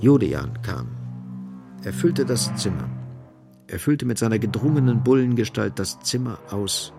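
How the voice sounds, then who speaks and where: Low, creaky-voiced male radio play narrator. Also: lively intonation, moderate pace, music in the background.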